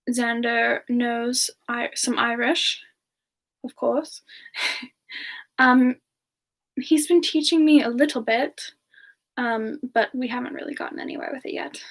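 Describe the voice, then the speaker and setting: A woman hosting a semi-ASMR question session, chatting casually in a soft-spoken, gentle and affectionate manner. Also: a little high, gravelly. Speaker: ASMR channel performer hosting a live Q&A in character.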